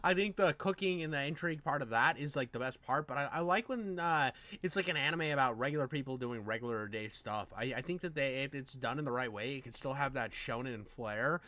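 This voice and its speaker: A man giving casual, excitable reaction commentary in slangy, animated speech, often repeating himself. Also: mid-range voice, a little fast, few pauses, dry recording.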